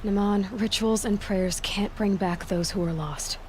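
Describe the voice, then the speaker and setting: An actress playing a young, plain-spoken heroine in a video game, direct and sceptical yet compassionate. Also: female voice, mid-range voice, unhurried, darkish tone, slight background noise, lightly acted. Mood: questioning.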